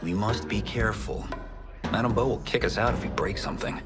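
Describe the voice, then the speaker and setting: Guy acting humble young farmer fighter in a game, earnest, lowish voice, music behind. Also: fairly quick, natural voice. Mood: good-natured. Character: humble, content young farmer and martial artist. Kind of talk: video game cutscene acting.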